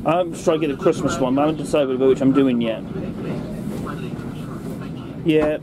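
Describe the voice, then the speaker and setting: A man on a train, chatting with a friend and ending his remarks with tag questions. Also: normal speed. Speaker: railway enthusiast filming the trip. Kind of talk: casual chat. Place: heritage train carriage, indoors. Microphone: handheld phone camera. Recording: loud train rumble behind.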